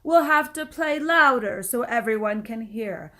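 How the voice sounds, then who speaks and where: A woman reader puts on an excitable lady schoolteacher from a children's storybook. Her voice is high and loud, and she calls out emphatically to urge her class on. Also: unhurried. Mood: loud, excited. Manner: emphatic, calling out.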